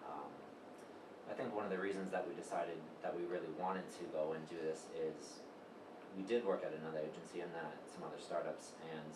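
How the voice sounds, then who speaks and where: Deep voiced guy, steady chat, echoey, mic far away. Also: brisk. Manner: plain, steady explanation with fillers. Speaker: guest, web agency co-founder. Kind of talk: casual interview talk. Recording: roomy, distant mic with background noise.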